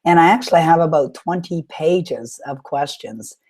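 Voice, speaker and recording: A woman with a low, mellow voice hosts a live webinar, her throat a little sore. She coaches listeners through their questions in an encouraging way, pausing often with small fillers. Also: breathy edge. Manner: warm encouraging coaching talk with frequent "uh" pauses. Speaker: webinar host and author coach.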